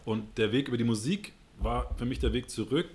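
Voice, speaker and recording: A man's voice: mid-range voice, fairly quick, a little mellow. A man with a northern German accent, answering interview questions in an earnest, reflective way and searching for words as he goes. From Hamburg, northern Germany. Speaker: interview guest.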